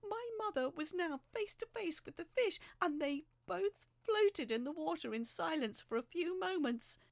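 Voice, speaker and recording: A woman's voice: very high-pitched, expressive, moderate pace, vocal fry, no room sound. A young woman performing a dramatic first-person horror narration in an emotive, suspenseful way.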